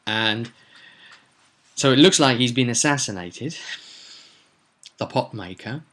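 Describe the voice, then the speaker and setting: Male storyteller, mid-pitched, informal and halting, recapping a roleplay game session conversationally. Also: animated, fairly bright tone.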